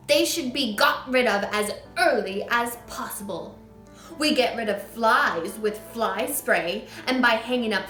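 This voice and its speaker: A woman playing a booming, furious giant headmistress. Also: bright, forceful tone, exaggerated voice. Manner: booming, barking, ranting. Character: huge, furious, child-hating headmistress. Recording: echoey room.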